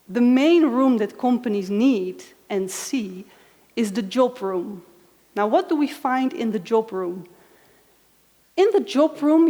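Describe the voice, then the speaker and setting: Dutch woman giving a conference talk, kinda high voice, hall echo everywhere. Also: darkish tone. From Netherlands. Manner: friendly, engaging, asks the audience questions. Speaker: conference speaker. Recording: echoey hall.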